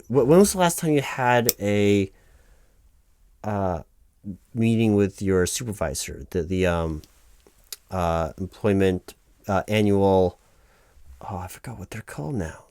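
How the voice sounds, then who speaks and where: Man playing a deep-voiced, flustered office clerk. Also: darkish tone, dry recording. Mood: flustered, distracted. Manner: hesitant, rambling, self-correcting. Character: flustered, apologetic workers' compensation office clerk, male. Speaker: claims paperwork interviewer. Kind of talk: one-sided ASMR audio roleplay, improvised interview.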